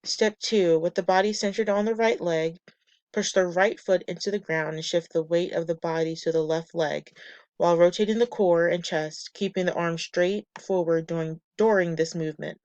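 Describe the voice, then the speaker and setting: A woman presenting a class lesson from slides, a bit nasal and raspy from a cold, reading steps and lists in a measured way. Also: on the low side, fairly even intonation, darkish tone, no echo, lo-fi sound. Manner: measured, reads steps and muscle lists methodically. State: getting over a cold, slightly nasal and raspy. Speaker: student presenter.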